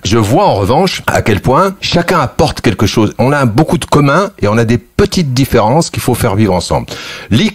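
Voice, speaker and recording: A man in his sixties from Algeria, with Kabyle as his first language, giving thoughtful interview answers as a former journalist, often pausing and restarting. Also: mid-range voice. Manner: thoughtful, frequent restarts and hesitations.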